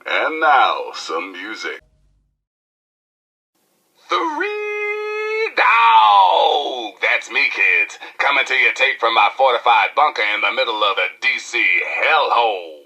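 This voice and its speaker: A rebellious American wasteland DJ from a video game. His delivery is forceful and very lively, with unhurried showman phrasing and slangy, preachy patter aimed at his listeners. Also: medium pitch, vocal fry, slightly rough, heavily acted. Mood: showy, upbeat. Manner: showy, punchy, slangy, preachy. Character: brash, rebellious male wasteland radio DJ. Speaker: radio DJ and host. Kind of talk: high-energy radio DJ patter.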